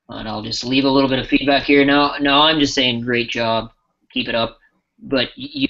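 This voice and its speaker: A man walks through a software tool in a casual live demo, his voice bright with a gravelly edge. He talks loosely and restarts his phrases often. The sound is dull and lo-fi, like webinar audio. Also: medium pitch. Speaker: software trainer.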